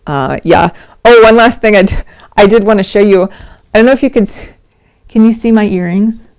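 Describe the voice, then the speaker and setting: A middle-aged woman, a little hoarse, hosting a casual livestream from home, chatty and rambling with frequent laughs. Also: medium pitch, moderate pace, darkish tone. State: slightly hoarse. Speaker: host of a knitting livestream.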